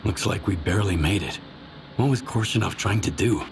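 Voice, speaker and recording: Guarded stalker, very low and clipped, light hiss behind. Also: male voice, animated, fairly quick, creaky. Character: terse male stalker, guarded and questioning. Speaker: game protagonist.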